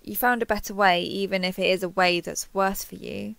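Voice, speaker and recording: A woman's voice: mid-range voice, fairly even intonation, brisk, vocal fry, a bit bright, dry recording. A woman playing a kindhearted, awkward young woman who gets easily flustered, speaking hesitantly and earnestly in an intimate roleplay audio drama.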